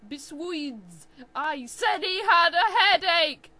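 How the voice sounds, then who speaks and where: A woman's voice: very high voice, lively intonation, takes it slowly, bright, forceful tone, pitched above the performer's own voice, heavily acted. A woman voicing a defensive, petulant teenage fan fiction author who whines and mangles her words in indignant notes to readers.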